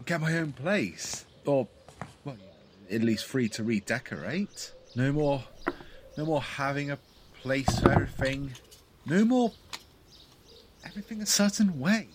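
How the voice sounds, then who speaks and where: Man acting a timid, slow-spoken grown son. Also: medium pitch, a little hiss or hum, natural voice. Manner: hesitant, halting, talking to himself. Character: timid downtrodden son, newly bereaved and free. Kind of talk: audio play monologue.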